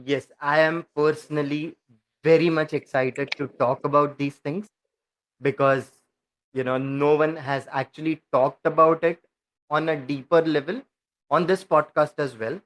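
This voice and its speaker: A man hosting a podcast talks earnestly and eagerly. His voice sits a bit higher than most men's. His phrasing often circles back on itself. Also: steady tone, a little slow, fairly bright tone, poor audio. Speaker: podcast host.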